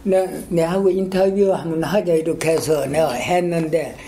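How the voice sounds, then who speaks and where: A man's voice: fairly high pitch, fairly even intonation, mellow. Older man with a strong Gyeongsang dialect. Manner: halting, rambling, many fillers and restarts. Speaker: interviewee, retired school principal. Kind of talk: oral history interview.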